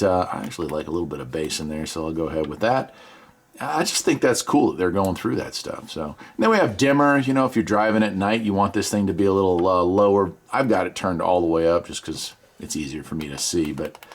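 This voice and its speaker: American guy, kinda low voice, doing a laid-back folksy demo video, thinking out loud as he goes. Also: breathy edge. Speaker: radio hobbyist video presenter. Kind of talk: casual product demonstration.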